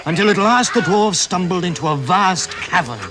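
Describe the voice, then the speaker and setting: A man's voice: sits high, lively intonation, moderate pace. A man narrating a vintage radio dramatisation in a dramatic storybook style.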